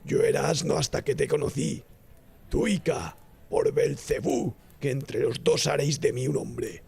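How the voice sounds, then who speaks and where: A man's voice: mid-range voice, expressive, measured pace, vocal fry, a little mellow, slightly rough, pitched above the performer's own voice, exaggerated voice. A man voicing a brash, cynical, tipsy young medical student who talks with a boastful swagger in an audiobook tale. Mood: effusive. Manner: jactancioso, swaggering, exclamatory. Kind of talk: audiobook character acting.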